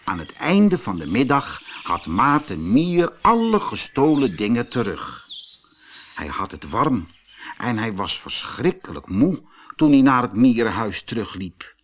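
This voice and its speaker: A man narrating a children's fairy tale audiobook in an expressive storytelling manner. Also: medium pitch, measured pace.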